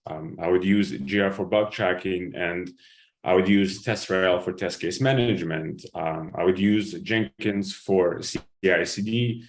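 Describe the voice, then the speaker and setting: A man from Ukraine speaks on a video call. His voice is very low. He explains his answers in a relaxed, casual way. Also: unhurried. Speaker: guest, QA engineer.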